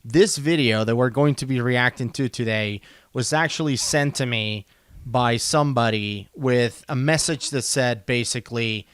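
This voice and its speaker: A man hosting a casual chat, animated and quick to jump in with questions. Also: medium pitch, smooth. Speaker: host.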